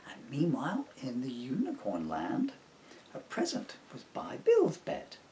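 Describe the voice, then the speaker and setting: A man reading his own rhyming children's book aloud as an expressive storyteller. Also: mid-range voice, a little slow, a little hiss or hum.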